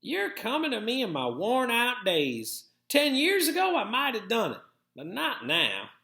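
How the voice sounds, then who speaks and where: A man's voice: extremely high pitch, wildly swooping pitch, unhurried, vocal fry, pushed and bright, roomy sound, voice pushed up, exaggerated voice. A man voicing a plain-spoken, worn-out old country grandmother, blunt and folksy, in a storybook reading. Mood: firm, weary.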